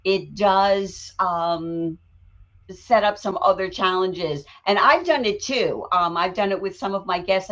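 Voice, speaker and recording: Woman co-host of a live talk show, warm and chatty, slightly muffled sound. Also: medium pitch. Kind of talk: casual chat.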